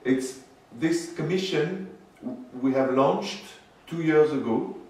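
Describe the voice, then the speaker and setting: A man giving a public lecture as guest speaker, speaking haltingly with many fillers and restarts. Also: fairly high pitch, a little mellow. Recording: roomy, distant mic with faint noise.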